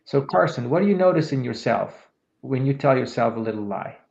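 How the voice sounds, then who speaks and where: A man in his fifties co-hosting a podcast, calmly talking listeners through a reflective exercise. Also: mid-range voice, fairly quick, gravelly. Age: 50s. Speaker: podcast co-host, leadership coach. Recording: echoey room, dull lo-fi sound.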